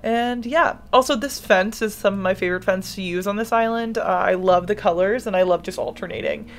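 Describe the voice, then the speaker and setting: A woman's voice: mid-range voice, a little fast. Rambling American woman with a slight lisp. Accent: General American. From United States. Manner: rambling, self-interrupting, laughs often. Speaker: game builder and youtuber. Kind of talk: casual voiceover of a game build.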